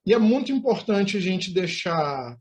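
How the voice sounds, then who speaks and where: A man's voice: high voice, sing-song, a little slow. Male interview host, Brazilian accent, formal and wordy questioning in a conversational setting.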